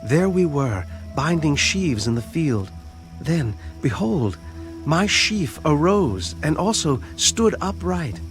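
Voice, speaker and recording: An actor playing an earnest teenage shepherd boy who has dreams, in a dramatized audio Bible, speaking deliberately. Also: a little high, big pitch swings, soft background music. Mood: eager, wondering.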